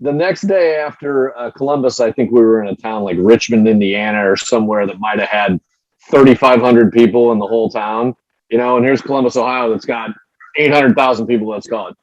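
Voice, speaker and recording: A man's voice: medium pitch, steady tone, fairly quick. Man telling road stories on lo-fi audio. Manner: storytelling, repeats words when starting. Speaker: former pro wrestler, guest. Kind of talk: casual interview chat.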